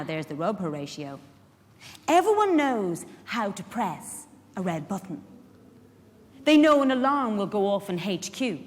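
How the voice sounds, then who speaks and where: An Irish woman actor playing a chatty, frank, wry carer in a theatrical monologue, putting pointed questions to her listeners. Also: a little high. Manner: conversational, pointed rhetorical questions. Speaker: actor in a dramatic reading. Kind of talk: theatrical monologue addressed to a live audience. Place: large indoor hall at a public event. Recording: echoey hall, live stage reading.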